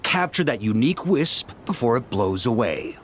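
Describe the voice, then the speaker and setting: An actor playing a confident, easygoing seasoned warrior in a video game, explaining things in a measured, theatrical way. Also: male voice, medium pitch, very animated, slight background noise, lightly acted. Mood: thoughtful, composed. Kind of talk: video game character dialogue. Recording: background music under voice.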